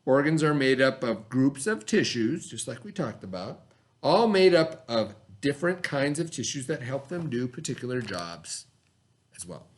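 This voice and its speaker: A man's voice: medium pitch, big pitch swings. A man teaching children by reading a lesson aloud, in an instructional way that mixes reading with asides and questions. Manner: instructional, pausing to pose and answer questions.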